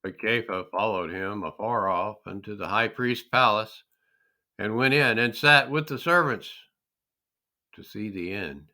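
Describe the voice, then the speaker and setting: A man giving a solemn, deliberate and emphatic dramatic reading of scripture. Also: on the low side, pushed and bright. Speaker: scripture reader.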